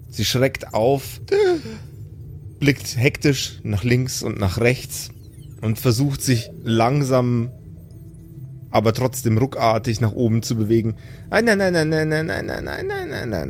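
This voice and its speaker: A man narrating an improvised tabletop audio drama as game master, with deliberate, dramatic phrasing. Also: on the low side, a little mellow, slight background noise.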